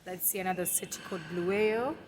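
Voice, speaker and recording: A young woman from Zimbabwe, a podcast guest who talks in a relaxed, storytelling way and laughs easily. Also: lowish voice, fast, background noise. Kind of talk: conversational interview.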